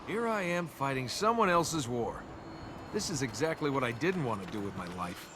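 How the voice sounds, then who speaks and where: An actor playing a cocky young adventurer and reluctant hero in a video game, with a wry, flippant, casual delivery. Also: male voice, mid-range voice, very animated, moderate pace, very noisy, lightly acted. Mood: frustrated.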